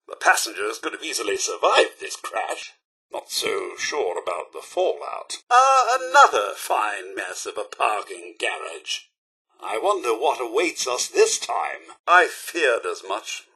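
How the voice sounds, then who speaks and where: A man's voice: medium pitch, huge pitch swings, unhurried, bright, forceful tone, breathy edge, full character voice. A man voicing a prim, fussy, courteous robot butler in a video game, with a British accent and a polite, theatrical manner. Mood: dry, wistful musing. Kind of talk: video game companion voice lines.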